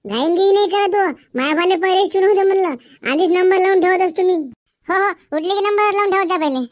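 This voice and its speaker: A woman's voice: very high voice, fast. A woman playing a defensive, argumentative village farm woman in a comic cartoon, with a rural Varhadi Marathi accent. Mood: defensive bickering.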